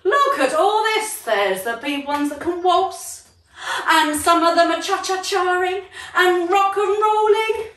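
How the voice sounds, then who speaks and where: A woman voicing a sad, self-doubting male giraffe in a children's storybook, plaintive and wailing, with drawn-out words. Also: very high-pitched, lively intonation, fairly bright tone, voice pushed up, heavily acted. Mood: woeful, wailing. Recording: roomy echo, distant microphone.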